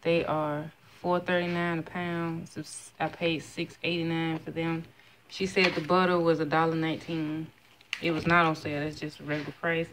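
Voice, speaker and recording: A woman's voice: low voice, level tone, normal speed, a little hiss or hum. A woman in her thirties chatting casually at home as she shows a grocery haul item by item, recorded on a phone. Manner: chatty, listing prices item by item. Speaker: vlogger. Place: home kitchen, indoors. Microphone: phone camera held close.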